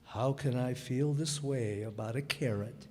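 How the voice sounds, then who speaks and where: An actor playing an earnest, lovestruck and slightly bewildered navy commander in a radio play. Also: male voice, medium pitch, unhurried, dark tone, lightly acted. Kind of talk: stage radio drama acting. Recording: reverberant hall with faint noise.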